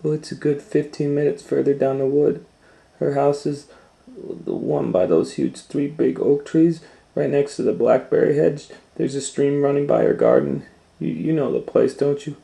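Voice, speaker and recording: Man acting innocent little girl, raised pitch, sweet, polite, eager childlike chatter, storybook. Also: normal speed, vocal fry, dark tone. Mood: eager, chatty.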